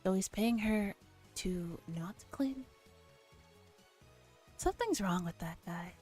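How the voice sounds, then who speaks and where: A soft-spoken woman streamer doing an ASMR read-aloud of a visual novel, with giggly asides. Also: medium pitch, expressive, slow pace. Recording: music behind the voice. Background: background music.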